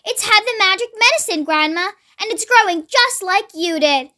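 A woman voicing an eager little boy who shouts excitedly, in a storybook reading. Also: very high-pitched, normal speed, pitched above the performer's own voice, exaggerated voice.

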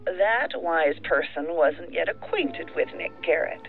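Lady doing an fed agent, frustrated and defensive, lively pitch, tinny phone line. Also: a little slow, gravelly, soft background music. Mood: exasperated, defensive. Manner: exasperated, defensive. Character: tense, frustrated female federal agent, stubborn and guarded. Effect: phone filter.

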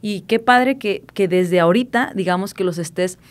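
A youngish woman, chatting in an animated, informal way on a podcast. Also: mid-range voice, moderate pace. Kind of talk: casual podcast chat. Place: small padded podcast studio. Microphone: studio mic on stand.

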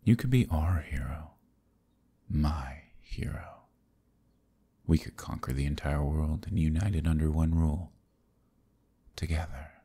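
Gravelly, extremely deep demon king. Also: heavily acted. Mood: seductive, persuasive. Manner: slow, smug, softly threatening. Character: menacing, seductive male demon king, calm and condescending villain. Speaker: voice actor. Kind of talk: ASMR roleplay acting.

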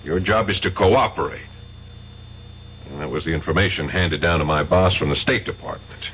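An actor playing a plain-spoken American federal detective in a radio drama, with a direct manner and American accent. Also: male voice, low-pitched, normal speed, fairly bright tone. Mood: firm, businesslike. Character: firm, easygoing American male federal narcotics detective. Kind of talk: 1970s radio drama acting. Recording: dull, faintly noisy 1970s radio recording.

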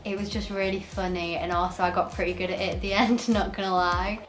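A woman's voice: mid-range voice, fairly even intonation, fast. A fourteen-year-old girl with an English accent giving a casual recap as an exchange participant. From York, England. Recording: echoey room with music behind.

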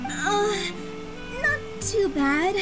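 A timid young lady customer from a video game. Her voice is very high, polite and hesitant, apologetic in manner, with music clearly behind it. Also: sing-song, very slow, exaggerated voice, poor audio. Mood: nervous. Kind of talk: scripted video game voice acting.

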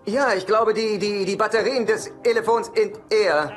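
A man's voice: sits high, normal speed, fairly bright tone, soft background music. An actor playing a fussy, anxious middle-aged divorced man in a German-dubbed sitcom, easily flustered.

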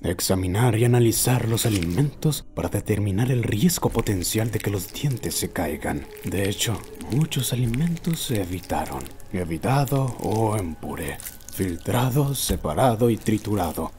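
A man voicing an obsessive old man who recounts his lifelong fixation in a solemn, deliberate horror narration. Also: fairly low pitch, expressive, darkish tone, a little hiss or hum, close to an everyday voice. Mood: brooding, solemn. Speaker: amateur narrator of a horror short. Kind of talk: scripted first-person horror narration.

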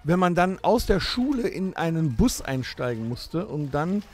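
A middle-aged man from Germany co-hosting a podcast, teasing and joking in a gravelly, husky voice. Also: a little high, very animated, moderate pace, a little mellow, faint music behind. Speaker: podcast co-host. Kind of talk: casual chat in a staged holiday audio play.

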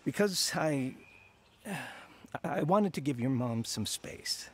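A man's voice: mid-range voice, expressive, slow, a little hiss or hum. An actor playing a regretful married schoolteacher and father telling his life story in a radio drama. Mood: hesitant, sorrowful. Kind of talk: radio drama narration and dialogue.

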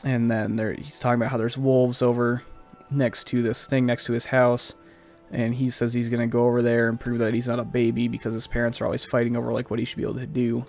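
A man's voice: medium pitch, gravelly, a little mellow. A man with a slightly stuffed-up, scratchy voice giving casual, rambling gameplay commentary as a let's play host.

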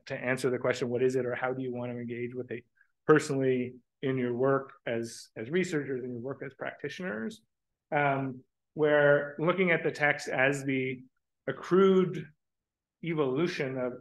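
A middle-aged man giving a conference keynote from a podium in a lecture room, thoughtful and halting, restarting his phrases. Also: medium pitch, rough recording. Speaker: keynote speaker. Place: lecture room with large windows. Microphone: podium microphone.

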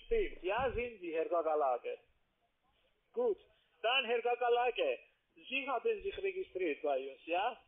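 A man with a foreign accent speaking non-native German in halting phrases, insistently working a sales call as a call-center trader. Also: sits high, very animated. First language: not German. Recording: tinny narrowband phone line.

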